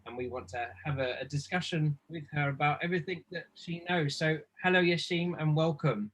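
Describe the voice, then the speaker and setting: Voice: medium pitch, a little fast, breathy edge, low-quality recording. Eager host stumbling through an intro. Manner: enthusiastic, stumbling with many fillers. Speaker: podcast host, interviewer. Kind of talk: casual interview chat.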